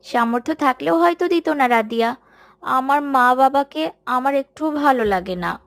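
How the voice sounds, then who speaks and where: Woman putting on a pouty, high-pitched little girl. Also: animated, fairly quick, no room sound, studio quality. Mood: resentful. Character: sulky, resentful little schoolgirl friend. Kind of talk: cartoon dialogue.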